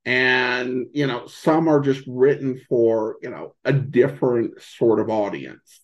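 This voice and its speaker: A man in a casual interview as a podcast guest, talking haltingly and searching for his words. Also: medium pitch, highs cut off. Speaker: podcast guest, old-time radio podcaster.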